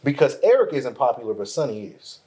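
A man's voice: medium pitch, big pitch swings, a little fast, warm tone, breathy edge. A man co-hosting a casual podcast chat, who says he stutters and often restarts his words. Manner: laughing, restarting and repeating himself. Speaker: podcast co-host.

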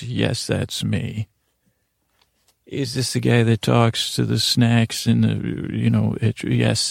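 A man hosting a bedtime podcast in a gravelly voice, with a meandering, halting monologue that keeps interrupting itself and wandering off. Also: mid-range voice, a little mellow, dry recording, studio quality. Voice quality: gravelly, creaky. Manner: meandering, halting, self-interrupting, trails off on tangents. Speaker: podcast host.

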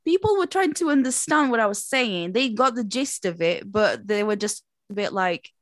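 A woman from Portugal, a native Portuguese speaker, chatting casually in English and telling stories in a chatty, animated way. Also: mid-range voice, moderate pace, no room sound. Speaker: performer who does shows. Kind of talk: casual chat.

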